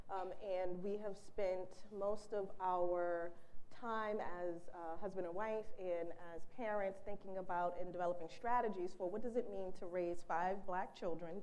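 A middle-aged woman from Boston, with no Boston accent, giving a conference keynote in a direct, conversational way in a large bright room. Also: lowish voice, low-quality recording. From Boston, USA. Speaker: keynote speaker. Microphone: handheld mic, then lapel mic.

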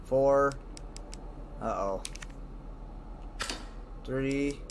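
A man's voice: medium pitch, very slow. A man giving casual let's play commentary as a gaming host, informally thinking aloud. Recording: clearly noisy, game ambience behind.

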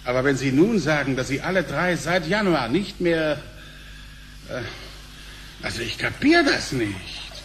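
Man playing a brash, high-pitched blustering director. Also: a little slow, slight background noise. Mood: puzzled. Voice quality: laughing, excitable delivery. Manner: blunt, slangy, exclamatory. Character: brash, blustering male company director, crude and jovial. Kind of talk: German radio play acting.

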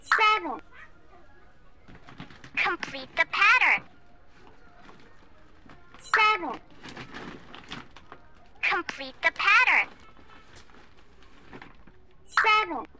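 Very high, bright childlike learning-game voice. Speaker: voice prompts in a children's learning app. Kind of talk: scripted educational game prompts, one word or short phrase at a time. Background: music.

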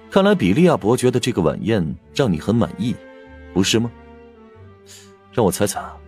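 Man playing a teasing, animated prince. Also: medium pitch, pitched above the performer's own voice. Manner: playful, smooth courtly banter. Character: suave, teasing male imperial prince. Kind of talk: dramatised audiobook character acting.

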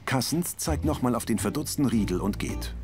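A man's voice: mid-range voice, animated, moderate pace, music in the background. A man reading scripted audio description for a TV crime comedy in a neutral, matter-of-fact way.

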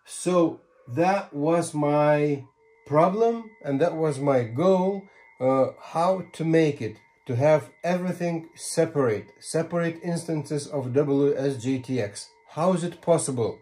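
Male tutorial presenter, sixties. Slow, deliberate delivery with emphatic phrasing and a relaxed, mellow timbre. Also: a little high. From Lithuania. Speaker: ham radio tutorial presenter. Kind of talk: step-by-step tutorial explanation. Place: small home radio room, indoors.

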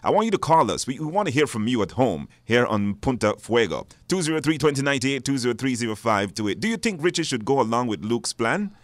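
A man's voice: mid-range voice, big pitch swings, fast talker, hardly any pauses, dry recording. A man presenting a live radio talk show, hesitating and repeating words for stress. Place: radio studio.

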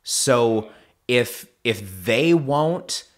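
A young man in his twenties giving earnest, deliberate conversational advice as a podcast co-host on a studio microphone. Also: medium pitch, animated. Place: small indoor podcast studio. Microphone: studio mic on boom arm.

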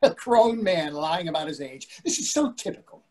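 A man's voice: a little high, very animated, moderate pace, a little mellow. A man in his sixties playing an irritable, sarcastic husband in a readers theatre comedy over a video call. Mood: scornful. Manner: sarcastic, exasperated. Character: irritable, indignant older husband dining out.

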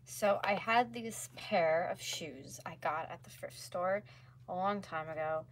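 A woman talks casually to her livestream viewers while she crafts. Her voice sits fairly low and sounds a little hoarse. The recording is a homemade one in a small room, with noticeable noise behind her. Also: a little slow. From North America. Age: 20s. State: slightly hoarse. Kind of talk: casual chat while painting. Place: small room at home, indoors. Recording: clearly noisy home livestream recording.

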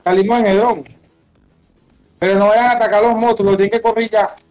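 A high-pitched Venezuelan man directs his teammates during an online game. He is bossy and slangy and repeats his commands, with faint music underneath. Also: fairly even intonation, fairly quick, dull audio. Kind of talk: casual game callouts. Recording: music behind the voice.

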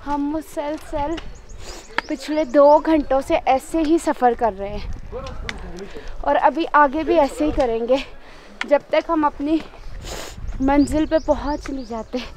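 A young woman talks brightly to a camera held close, out on a mountain trail. Her voice sits high, and her chatty, upbeat delivery turns breathless on the climb. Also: slow, long pauses. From Pakistan. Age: young adult. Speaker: travel vlogger. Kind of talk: casual travel vlog narration to camera. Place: outdoors on a forest hiking trail and hilltop meadow. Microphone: handheld camera held close.

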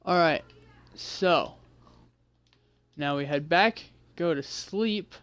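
A man with a fairly high-pitched voice giving casual commentary while playing a video game, muttering to himself and second-guessing as he goes. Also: drawn-out words, fairly bright tone, clear, resonant tone, no echo. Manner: mutters to himself, self-correcting and unsure. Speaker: gameplay video creator.

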